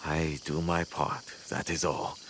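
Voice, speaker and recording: A humble, steady man devoted to his cause in a video game. His deep voice is slow and earnest, with faint insect ambience around it. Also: slight background noise. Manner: modest, earnest.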